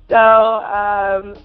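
Young woman from minnesota, 20, talks slow with lots of ums, kinda muffled sound, faint music under it. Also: medium pitch, smooth. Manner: hesitant, many fillers, giggly. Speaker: interview guest. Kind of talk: casual interview answers.

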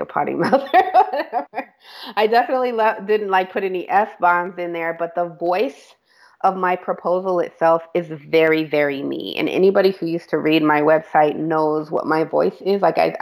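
A woman creative director speaking as a podcast guest, candid and chatty, laughing now and then and correcting herself as she goes. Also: on the low side. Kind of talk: casual interview talk.

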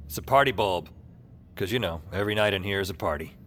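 An actor playing a haunted, wry male narrator in audio fiction, with a deadpan, weary, confessional delivery. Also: sits low, fast. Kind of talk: first-person noir-like audio fiction narration. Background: music under the voice.

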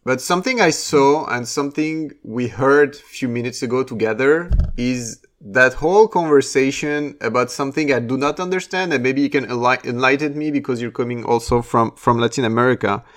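A man's voice: medium pitch, fairly quick, hardly any pauses. A man hosting a casual podcast interview, asking questions and often stumbling over his words. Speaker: podcast host.

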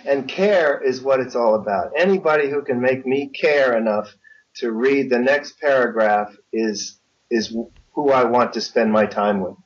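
An older man talking as a guest author in an interview, wry and opinionated, choosing his phrases deliberately. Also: mid-range voice. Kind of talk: conversational interview talk. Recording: dull, lo-fi connection.

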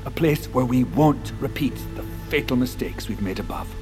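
An actor playing a grandiose, self-assured visionary scientist in an audio drama, speaking deliberately and theatrically. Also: male voice, medium pitch, animated, music in the background, close to an everyday voice. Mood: grave, visionary. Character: grandiose visionary male scientist host unveiling his underground biosphere.

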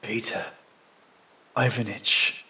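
Man acting a feeble, hushed young wounded soldier. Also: fairly even intonation, husky, full character voice. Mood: weak, anxious. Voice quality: hushed, weak voice. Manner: halting, low-energy replies.

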